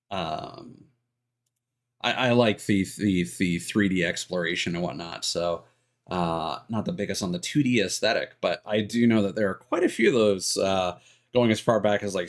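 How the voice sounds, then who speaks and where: Casting: male game streamer, fairly deep, lively intonation, casual, halting, rambling chat. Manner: hesitant, rambling, frequently trailing off. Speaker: video game livestreamer.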